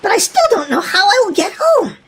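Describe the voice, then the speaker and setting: A woman voicing a hungry, excitable little boy adventurer, exclaiming dramatically in a children's storybook read-aloud. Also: very high voice, a little fast, heavily acted. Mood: excited.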